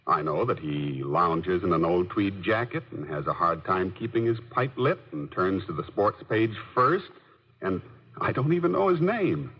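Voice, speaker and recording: A man's voice: low voice, lively intonation, normal speed, fairly bright tone, slightly rough, full character voice. An actor playing a wistful, neighborly man telling his story in a folksy 1950s radio ad style. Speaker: testimonial storyteller in a beer commercial. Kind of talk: 1950s radio ad monologue, scripted narration. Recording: old radio recording with long reverb.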